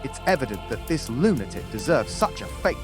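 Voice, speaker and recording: Guy doing hostile wizard official, formal n accusing, animated pitch, music behind. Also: fairly quick, lightly acted. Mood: stern accusing. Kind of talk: fantasy audio drama acting.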